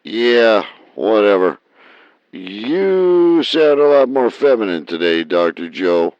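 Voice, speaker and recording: An actor playing a crude phone-in caller in a radio comedy drama, halting and insolent. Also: male voice, on the low side, sing-song, darkish tone, no room sound. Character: rude, crude, hesitant male phone-in caller. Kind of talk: comic radio drama acting. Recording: dull phone-in line, dry.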